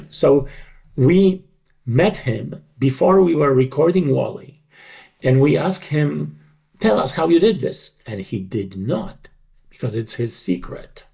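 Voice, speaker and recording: A middle-aged or older man speaking reflectively in an interview, in deliberate, halting, emphatic short phrases. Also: fairly high pitch, very animated, gravelly, a little mellow. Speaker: dubbing creative director.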